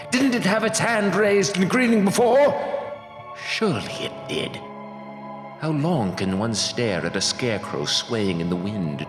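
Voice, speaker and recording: A man's voice: low voice, full character voice. A man playing a soulless, pompous undead announcer, speaking in ornate, melodramatic gothic horror radio style. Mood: spooked. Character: soulless undead announcer, pompous, literal-minded, darkly grandiose. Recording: echoey long reverb, music underneath.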